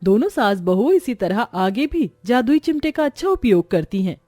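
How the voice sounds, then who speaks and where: A woman's voice: fairly high pitch, big pitch swings, normal speed, warm tone, no echo, faint music behind. A woman reading scripted narration for a cartoon story.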